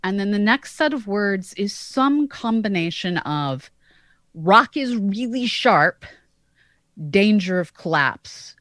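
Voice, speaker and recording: A woman co-hosting a science podcast, explaining in a measured, careful way. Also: mid-range voice, animated, a bit bright, no room sound, studio quality. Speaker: podcast co-host, astronomer.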